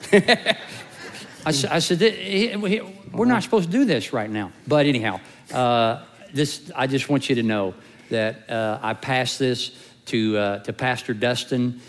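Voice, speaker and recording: A man speaks as lead pastor to his congregation, heartfelt and a little halting with frequent fillers. His voice sits at a middle pitch, and long reverb from the church hall trails after his words. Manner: warm, emotional, hesitant with fillers. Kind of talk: sermon announcement. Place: church sanctuary.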